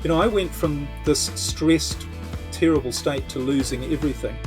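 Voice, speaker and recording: A man's voice: on the high side, moderate pace, over music. A man from New Zealand with a New Zealand accent, talking conversationally as a coach being interviewed on a podcast. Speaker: podcast guest, entrepreneur coach.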